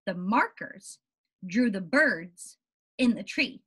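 Slow-paced young woman reading to children. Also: mid-range voice, slightly rough. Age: young adult. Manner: animated, deliberate storybook reading with questions to the listeners. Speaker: teacher. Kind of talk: read-aloud lesson for young children.

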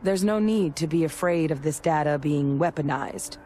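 A woman playing a candid, measured military veteran in a video game, speaking deliberately. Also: deep voice, warm tone, slight background noise, close to an everyday voice. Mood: measured, reassuring. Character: measured, candid female soldier-scientist, war veteran.

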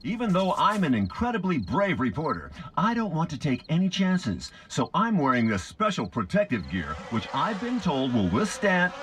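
A man's voice: a little high, big pitch swings, moderate pace, few pauses, noisy background. A man voicing a brave, boastful and irritable cartoon dog reporter who speaks in pompous, emphatic news-report style. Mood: self-important.

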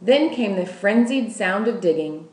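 Audiobook-style female narrator for a children's novel, unhurried and deliberate, mid-pitched, roomy echo. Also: expressive, a little mellow. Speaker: school staff member reading aloud. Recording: roomy, echoing room sound.

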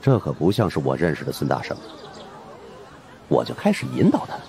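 A man's voice: extremely low pitch, wildly swooping pitch, fairly quick, warm tone. A man narrating a story as a dramatic scripted audiobook in the first person. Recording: faint background noise.

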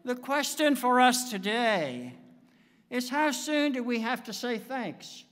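An American man in his seventies preaching a sermon from a pulpit in a deliberate, emphatic manner with dramatic pauses. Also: very high-pitched, animated, fairly bright tone. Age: 70s. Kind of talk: sermon with anecdotes. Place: church sanctuary, speaking from the pulpit. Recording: reverberant church hall, long echo.

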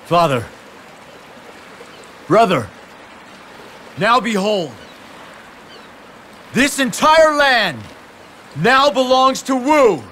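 A man's voice: extremely high pitch, very animated, drawn-out words, long pauses, bright, forceful tone, a little hiss or hum, heavily acted. A man acting a proud, eager young warlord in a video game, proclaiming exultantly.

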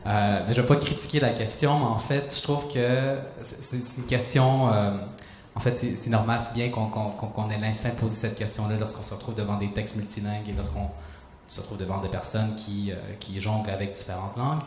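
A man's voice: fairly low pitch, flat delivery, brisk, a little mellow. A man in his thirties, a native Spanish speaker, talks reflectively on a panel, searching for words and restarting phrases, into a handheld mic on a small stage. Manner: searching, restarts phrases, gestures while explaining. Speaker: author on a panel. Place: small hall stage with audience. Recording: roomy hall sound, faint noise.

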